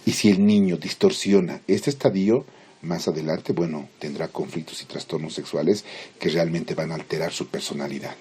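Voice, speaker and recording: A man lecturing in Spanish as a teacher, explaining theory informally in halting, didactic phrases with check-in questions. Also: deep voice, darkish tone, slightly rough. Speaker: psychology lecturer.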